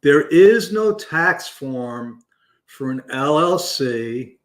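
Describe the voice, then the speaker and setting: A man hosting a business show, talking loudly and passionately with emphatic restarts. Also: fairly high pitch, animated, very slow, reverberant. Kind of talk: unscripted talk to camera.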